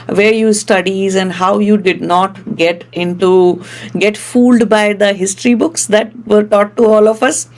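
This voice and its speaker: A woman hosting a podcast, speaking earnestly and effusively as she introduces her guest. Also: medium pitch. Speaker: podcast host. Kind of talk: interview introduction and questions.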